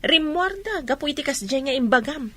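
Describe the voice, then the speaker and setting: Woman acting a higher-voiced sympathetic Filipino confidante. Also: lively intonation, brisk, fairly bright tone. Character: sympathetic female confidante of a widow. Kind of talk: Filipino radio comedy drama acting.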